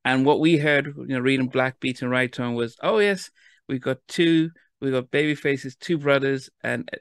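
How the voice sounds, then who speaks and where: Halting man hosting an interview. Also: medium pitch, gravelly, smooth, no echo, studio quality. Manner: halting, restarts often, laughs easily. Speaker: interview host. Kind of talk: casual interview chat.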